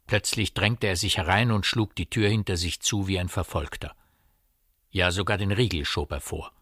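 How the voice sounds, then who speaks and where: A man's voice: low-pitched, moderate pace, fairly bright tone, dry recording, clean studio sound. A man narrating a literary radio play as a scripted storyteller.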